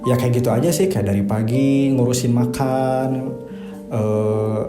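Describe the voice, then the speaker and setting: A man of twenty-one answering interview questions in relaxed, hesitant casual chat. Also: medium pitch, measured pace. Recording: echoey room with music behind.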